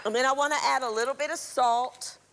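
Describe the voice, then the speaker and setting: A middle-aged woman, raspy from a cold, chattily walking viewers through a recipe on a live TV cooking show. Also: high voice, steady tone, a little fast, a bit bright, slight background noise. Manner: chatty instructional, throat clearing. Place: TV studio kitchen.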